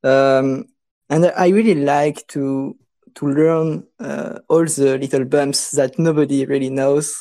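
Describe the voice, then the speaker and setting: A man with a French accent, a guest on a casual podcast, unhurried and halting, laughing often. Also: mid-range voice, fairly even intonation, mellow.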